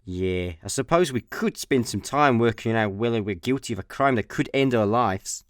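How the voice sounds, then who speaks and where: A man's voice: mid-range voice, expressive, normal speed, no echo, clean studio sound, natural voice. A man with a Scottish accent playing a laid-back, dim, easily distracted slacker in a comedy sci-fi radio play. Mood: easygoing. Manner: casual, offhand.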